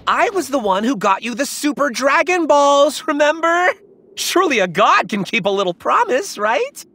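A woman's voice: high-pitched, very animated, moderate pace, fairly bright tone, exaggerated voice. An actress playing a breezy, wheedling woman scientist and schemer in an anime game. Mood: cajoling. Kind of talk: English anime game voice acting.

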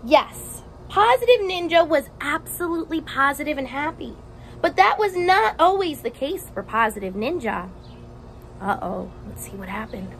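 Animated, high-voiced young woman teacher. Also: rough recording. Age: young adult. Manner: expressive, asks questions and pauses for answers; encouraging, with exaggerated gasps and emphasis. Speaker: teacher reading aloud to children. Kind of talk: animated children's picture book read-aloud. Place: outdoors on a house porch. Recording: faint outdoor background noise.

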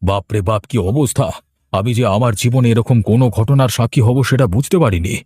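A man playing an elderly, weary village gentleman in a horror cartoon. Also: medium pitch, moderate pace, slightly rough, dry recording, studio quality, close to an everyday voice. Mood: shocked. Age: older. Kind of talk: Bengali horror cartoon dialogue.

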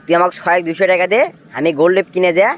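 A man's voice: sits high, little pitch movement, racing, a little hiss or hum, close to an everyday voice. A man voicing a sly young village freeloader in a comic cartoon, teasing and insistent, with a Bogura accent from northern Bangladesh. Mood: needling. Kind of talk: comic cartoon dialogue in rural dialect.

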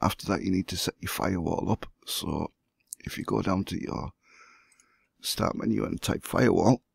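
A hoarse man who has the flu, walking through a computer setup step by step as the host of a gaming channel. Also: sits low, normal speed. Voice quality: gravelly, husky. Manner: plain step-by-step explaining. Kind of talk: screen-recorded tutorial.

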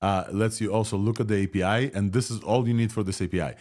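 A man in his thirties with a Russian-Israeli accent reacts live to new tech. He talks excitedly and rambles, with a slightly low voice. He records through a headset mic at home. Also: fast. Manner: excited, rambling, laughs often. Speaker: tech show host. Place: home room, indoors.

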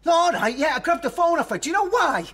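An actor playing a volatile, pleading estranged husband in a radio drama, agitated and insistent. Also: male voice, extremely high pitch, very animated, quick pace, sharp tone, exaggerated voice. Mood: defensive.

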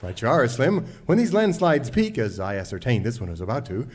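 A man's voice: mid-range voice, big pitch swings, fairly quick, darkish tone, close to an everyday voice. A man playing a mock-heroic, wordy cowboy leader in a western radio comedy. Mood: explaining proudly. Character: earnest, heroic, know-it-all singing cowboy leader. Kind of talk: old-time western radio parody.

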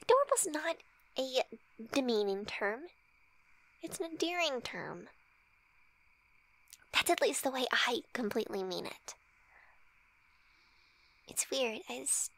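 A woman playing a flirty, shy, teasing best friend in an intimate ASMR roleplay, with breathy, hesitant, soft speech. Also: high voice, sing-song, slow pace, pauses often, full character voice. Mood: tender, shy. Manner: breathy whispering, hesitant, giggly.

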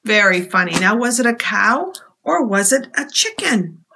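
A woman in her fifties reading aloud at storytime for young children, expressive and deliberate, in a small room. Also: mid-range voice, vocal fry, breathy edge.

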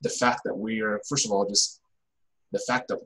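A young man from Africa hosting a casual interview over a video call, halting and saying "like" often. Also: lowish voice, flat delivery, a bit bright, rough recording. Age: young adult. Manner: halting, frequent "like". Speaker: podcast host. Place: small indoor room at home, video call.

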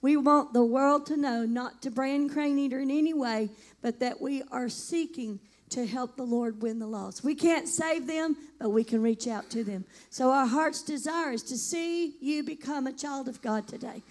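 An older woman worship pastor speaks into a handheld mic from a church stage. Her voice sits high and carries loud and fervent as she reads scripture and prays. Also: moderate pace, hardly any pauses. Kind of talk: church service reading and prayer.

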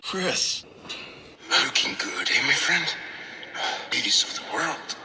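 A man's voice: medium pitch, expressive, slow, loud music behind the voice. An actor playing a strained, urgent man in a horror video game. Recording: noisy game clip.

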